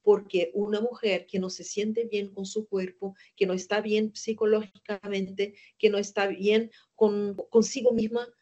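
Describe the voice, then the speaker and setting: A woman's voice: medium pitch, flat delivery, a little slow, hardly any pauses, dark tone. Brazilian lady giving a webinar talk, earnest, asks herself questions then answers, a bit muffled. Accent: Brazilian Portuguese. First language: Portuguese. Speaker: gynecologist lecturer. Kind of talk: webinar lecture.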